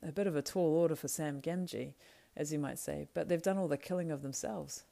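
A woman's voice: low-pitched, fairly quick, creaky, mellow. A woman voicing a loyal, practical male hobbit servant from a storybook, deferential and homely in manner. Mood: matter-of-fact. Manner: deferential, homely rustic phrasing.

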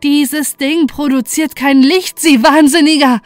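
A woman's voice: high-pitched, fairly even intonation, measured pace, no echo, soft background music. A woman playing a desperate narrator in a first-person horror audio drama, telling it with dramatic intensity and dread. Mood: furious despair. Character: desperate, horrified narrator confronting a mad former friend.

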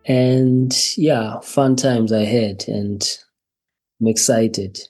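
Husky-voiced man from zimbabwe. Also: mid-range voice, fairly even intonation, warm tone, faint music behind. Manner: halting, repeats words, laughs between phrases. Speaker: podcast guest. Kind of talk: personal storytelling interview.

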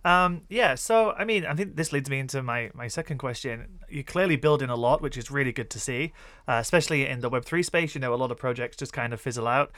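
A man's voice: medium pitch, fast talker, fairly bright tone, dry recording. A man with a Yorkshire accent hosting a podcast interview, chatty and friendly, laughing easily. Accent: Northern English (Yorkshire). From northern England. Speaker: podcast host. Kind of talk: casual interview chat.

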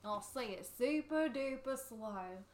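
A woman's voice: on the high side, lively intonation, slow pace. A woman playing a chummy, over-the-top announcer in a comic radio advertisement, with a playful hard sell. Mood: brisk selling.

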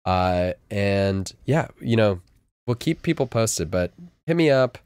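A man's voice: lowish voice, expressive, slow pace, darkish tone, clean tone, dry recording, studio quality. A man chatting casually in a laid-back, slangy way as an interview guest. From California. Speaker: guest, music teacher. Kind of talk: casual chat.

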